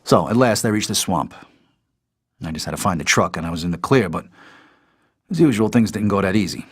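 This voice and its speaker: An actor playing a jaded American trucker and war veteran, narrating a hard-boiled crime game in a matter-of-fact, world-weary way. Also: male voice, low-pitched, animated, brisk, slightly rough. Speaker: narrator and player character.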